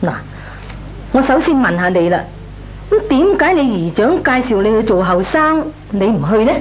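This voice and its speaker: Exasperated, nagging middle-aged aunt. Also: female voice, fairly high pitch, very animated, normal speed. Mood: coaxing. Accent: Hong Kong Cantonese. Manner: sighing, coaxing, reproving. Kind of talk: Cantonese radio comedy drama acting. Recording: noisy old radio recording.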